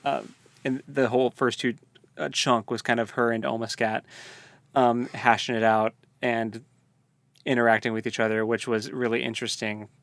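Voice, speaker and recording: A man's voice: medium pitch, flat delivery, normal speed, fairly bright tone, dry recording. A man co-hosting a casual book discussion podcast, hesitant and full of restarts as he talks through a story. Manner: hesitant, many fillers and restarts. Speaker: podcast co-host.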